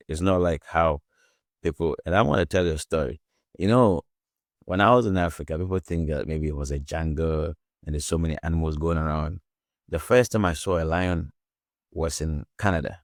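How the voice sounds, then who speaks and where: Man from Ghana, very low voice, dry sound, rambling storyteller who keeps saying "you know". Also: fairly quick, no echo. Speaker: guest author. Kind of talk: casual interview.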